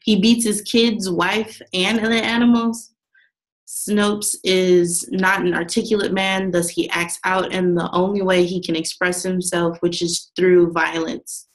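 A woman's voice: lowish voice, flat delivery, unhurried, poor audio. A young woman, a student, reading a class presentation from her slides, with some restarts. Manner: reads steadily from notes with some restarts.

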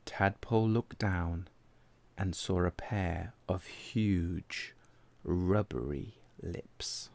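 A man reading a children's picture book aloud as an expressive, deliberate narrator. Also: low-pitched, vocal fry, no room sound. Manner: animated, deliberate. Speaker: storybook reader.

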